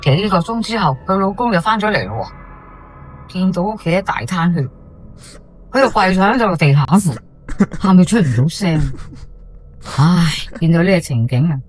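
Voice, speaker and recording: A woman's voice: on the low side, expressive, normal speed, long pauses. An actress with a Hong Kong Cantonese accent playing a chatty, dramatic housewife neighbour telling her tale in an audio drama. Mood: urgent. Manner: colloquial, exclamatory, gossipy. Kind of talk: podcast audio drama monologue.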